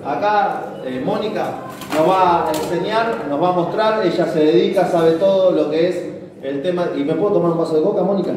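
High-pitched Argentine male host, distant and echoey. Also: brisk. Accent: Argentine Rioplatense. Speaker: event host. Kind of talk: informal live presenting to an audience. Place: large hard-floored club hall with seated audience. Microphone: handheld microphone over PA, recorded by a distant camera. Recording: echoey hall PA, distant, noisy crowd. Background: crowd noise and room ambience.

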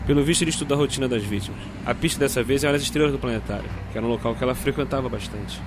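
A man's voice: medium pitch, rapid-fire, noisy background, lightly acted. A man playing a determined, methodical Brazilian police detective in an audio drama. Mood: focused, deductive.

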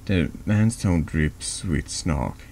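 A man reading a horror text game aloud as a dramatic narration, slightly halting. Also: sits low, lively intonation, darkish tone. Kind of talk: slow dramatic horror narration, ASMR-lite. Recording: noticeable background noise.

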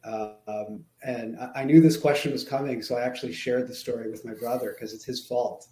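A man in his thirties, a chiropractor and guest, talking in a friendly, halting way over a video call. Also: mid-range voice, steady tone, warm tone, a little hiss or hum. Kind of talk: casual interview chat. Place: plain room, indoors. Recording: echoey room.

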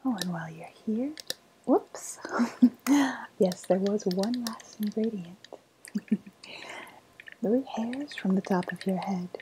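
A woman playing a sly, cooing woodland witch with a faint menace, in a breathy, softly spoken ASMR roleplay whisper. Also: medium pitch, background noise, lightly acted. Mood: playfully sly. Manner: slow, savouring, coaxing delivery.

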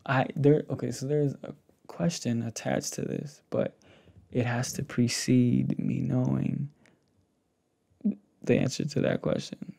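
A young man speaking softly and hesitantly close to the mic in a casual, intimate ASMR roleplay. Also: mid-range voice, flat delivery. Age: young adult. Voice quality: gravelly, breathy. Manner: hesitant, halting, soft-spoken. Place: indoors, small home setup with fabric backdrop. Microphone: desktop condenser mic with pop filter, close up.

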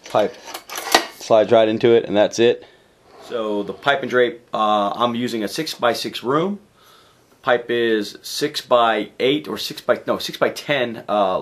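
A man in his forties, hoarse from a cold, giving a rambling, casual video update from his garage workshop. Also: lowish voice. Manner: rambling, chatty, lots of fillers and restarts. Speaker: DJ vlogger. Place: home garage workshop, indoors.

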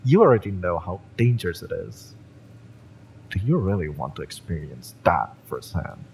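Cocky cyberpunk merc, played by a male actor: low, slow, smug menace with big swings in pitch, audio-drama style, ambient noise behind. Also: sing-song, gravelly, a little mellow, full character voice. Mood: threatening. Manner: taunting, smug, drawn-out menace with swearing. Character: cocky, fiery young male cyberpunk mercenary, taunting and menacing. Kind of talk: dramatic audio roleplay, intimate villain-romance acting.

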